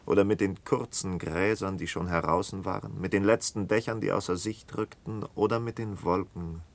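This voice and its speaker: An actor playing a brooding, haunted killer who confesses in halting fragments in a literary radio drama, sometimes close to whispering. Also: male voice, deep voice, steady tone, creaky. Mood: bitter, haunted. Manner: halting, intense, dropping into near-whispers.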